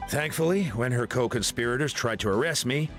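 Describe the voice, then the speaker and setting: A man voicing a calm, reasonable clan leader in a video game, measured and apologetic as he explains. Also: mid-range voice, soft background music, close to an everyday voice. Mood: composed.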